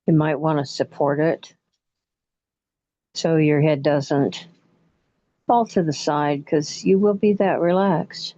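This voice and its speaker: Female meditation guide, very slow and soothing, mellow tone, slightly muffled dry recording. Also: low voice, steady tone, no echo. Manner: soothing, unhurried, with long pauses between short phrases. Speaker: guided imagery facilitator. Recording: muffled, dull lo-fi audio.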